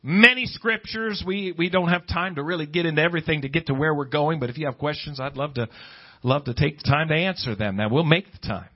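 A middle-aged American man preaching as a pastor, in emphatic, deliberate phrases with pauses. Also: fairly high pitch. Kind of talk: preaching a sermon to a congregation, reading scripture. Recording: dull, lo-fi sermon recording.

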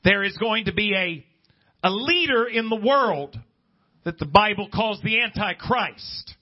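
A middle-aged American man preaching as a pastor, in emphatic, deliberate phrases with pauses. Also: high voice, lively intonation. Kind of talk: preaching a sermon to a congregation, reading scripture. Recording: dull, lo-fi sermon recording.

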